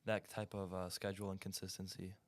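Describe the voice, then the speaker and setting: A teenage boy runner speaking in a measured, hesitant way with frequent ums. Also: low-pitched, flat delivery. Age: teens. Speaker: high school runner.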